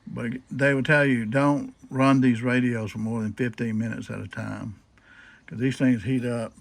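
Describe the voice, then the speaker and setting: A man's voice: mid-range voice, steady tone, moderate pace, gravelly, no echo. Folksy man giving a hands-on demonstration. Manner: folksy, asks and answers own questions. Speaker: hobbyist demonstrating old radio restoration. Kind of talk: casual hands-on demonstration, unscripted.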